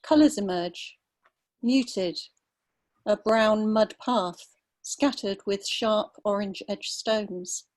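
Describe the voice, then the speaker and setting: Slow, measured older southern English woman. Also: mid-range voice, darkish tone. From London, England. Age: 50s or older. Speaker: writer reading own story. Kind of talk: measured literary reading. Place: online video call.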